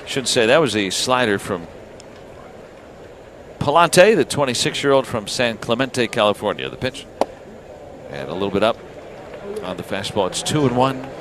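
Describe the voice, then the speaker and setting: A man calling a baseball game live on the radio as play-by-play announcer, in measured clipped phrases with pauses. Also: medium pitch. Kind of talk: live radio sports commentary. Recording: noisy outdoor ballpark radio broadcast. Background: steady ballpark ambience.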